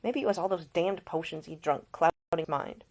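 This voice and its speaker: A woman's voice: fairly low pitch, a little fast, darkish tone. A woman author presenting and reading her own fiction at home in an informal storytelling style. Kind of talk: informal introduction, then expressive audiobook narration.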